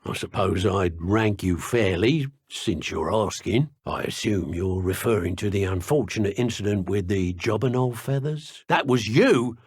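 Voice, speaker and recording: A man playing a self-important dark wizard criminal in a video game, haughty, wry and argumentative, with a husky voice. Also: fairly low pitch, unhurried, few pauses, no room sound. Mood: disapproving. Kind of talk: video game character voice acting.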